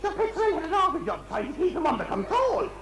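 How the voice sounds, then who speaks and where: A man's voice: extremely high pitch, very animated, fast talker, over music, full character voice. A man playing a gossipy, scolding Irish countrywoman in an amateur comic pantomime, rambling and full of exclamations. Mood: gossipy, exasperated. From Ireland. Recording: echoey amateur stage recording.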